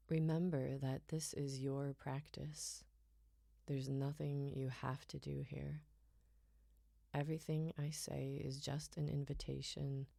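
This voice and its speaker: A woman guiding a relaxation meditation in a soft, soothing, unhurried way, her phrases often trailing off breathily toward a whisper. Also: extremely low pitch, gravelly, no echo, clean studio sound. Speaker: yoga nidra guide.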